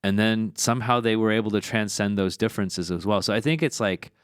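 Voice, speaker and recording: A man's voice: on the low side, brisk, clear, resonant tone, dry recording, clean studio sound. A man hosting a podcast, chatting casually and thinking aloud with many fillers. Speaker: podcast host.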